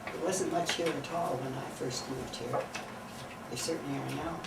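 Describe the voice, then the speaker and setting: An older woman chats through interview answers and often asks questions back. She sounds far from the microphone in a roomy, echoey space. Steady noise runs behind her. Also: very deep voice, normal speed, vocal fry. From Canada. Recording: distant mic in a noisy, echoey room.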